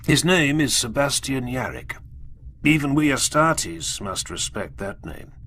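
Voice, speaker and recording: A man voicing a proud, grave space-marine warrior who narrates a war story in a measured, emphatic, dramatic way. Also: medium pitch, lively intonation, sharp tone, a little hiss or hum. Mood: grave, reverent. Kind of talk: scripted first-person story narration.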